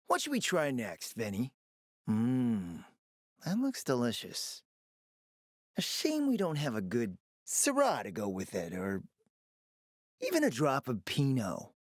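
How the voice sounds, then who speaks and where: A man's voice: mid-range voice, wildly swooping pitch, takes it slowly, pauses often, no room sound, studio quality, exaggerated voice. An actor playing a smug, flirtatious, decadent gourmand fixer in a video game, speaking in a pompous, theatrical, condescending way and savoring his words. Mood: sensual, savoring. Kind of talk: video game character acting.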